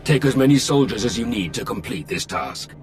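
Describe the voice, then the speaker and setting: An actor playing a menacing, coldly commanding Sith lord in a video game, imperious and deliberate. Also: male voice, medium pitch, very animated, music in the background, natural voice. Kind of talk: video game cutscene acting.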